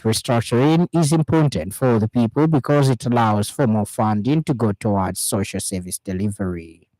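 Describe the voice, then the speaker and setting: A man's voice: on the low side, normal speed, dark tone, no echo, rough recording. Zambian man reading news, dry studio sound. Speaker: radio news reader. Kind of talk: scripted news bulletin reading. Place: radio studio.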